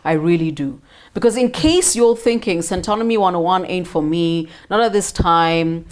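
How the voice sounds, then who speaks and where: A woman's voice: lowish voice, animated, normal speed. A woman with a Kenyan accent hosts a conversational interview, interjecting readily and repeating words for emphasis. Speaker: interview host.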